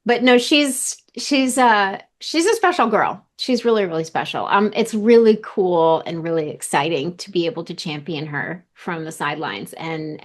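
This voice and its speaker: A woman's voice: low voice, vocal fry. A woman in her thirties, a vocal coach, chatting casually in an interview and repeating her words often.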